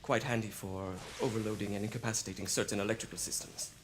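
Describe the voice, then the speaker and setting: A man playing a proud, eccentric Victorian inventor in a video game, speaking politely and precisely with gentle hesitations. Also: lowish voice, steady tone, quick pace, slight background noise, close to an everyday voice. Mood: proud, eager. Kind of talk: video game cutscene acting.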